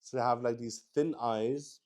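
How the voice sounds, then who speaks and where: Slow-paced man, casual and hesitant. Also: medium pitch, fairly even intonation, a little mellow. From Luxembourg. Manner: hesitant, thinking aloud. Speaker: solo roleplay stream player. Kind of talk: casual improvised chat while playing.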